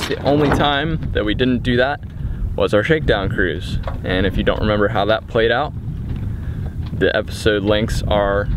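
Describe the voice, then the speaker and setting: A young man speaks casually to a camera held at arm's length aboard a sailboat. His voice sits low and easy, and steady wind and water noise runs behind him. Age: young adult. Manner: relaxed, conversational, excitable when surprised. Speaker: sailing vlogger. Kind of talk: casual vlog talk to camera. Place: outdoors on a sailboat at sea. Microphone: handheld camera at arm's length.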